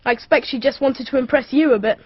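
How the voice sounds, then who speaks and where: On a muffled, dry radio drama recording, a woman plays a high-voiced schoolboy. The boy sounds blunt and scornful, with an offhand way of talking and some huskiness. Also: fast, husky, no echo. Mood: dismissive. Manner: offhand, matter-of-fact. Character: blunt, scornful schoolboy, brother of a dead girl. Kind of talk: radio mystery drama acting.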